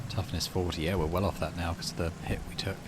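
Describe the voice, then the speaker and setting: A man doing casual, low-key game commentary and reading story text aloud as he plays. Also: little pitch movement, fast talker, very noisy. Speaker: gaming channel host. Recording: music behind the voice. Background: game music.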